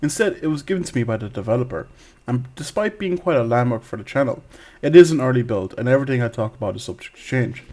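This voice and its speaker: A man's voice: medium pitch, animated, fast talker, darkish tone. A man reading a scripted video game review in a wry, tongue-in-cheek way.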